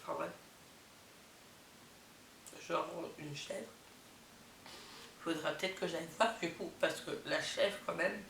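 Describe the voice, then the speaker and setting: A woman's voice: on the low side, slow, vocal fry, long pauses, fairly bright tone. A young woman with a head cold and a nasal voice, chatting casually to her viewers and reacting with amused asides as she reads out summaries. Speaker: vlogger. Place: indoors, not her bedroom. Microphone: camera mic. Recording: roomy, distant camera mic with faint hiss.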